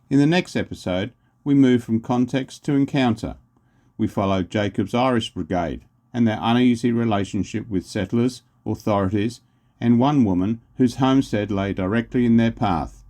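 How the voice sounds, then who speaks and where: A man's voice: on the low side, warm tone. A man reading scripted narration as a podcast announcer, in a composed documentary style. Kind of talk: scripted narration, episode summary.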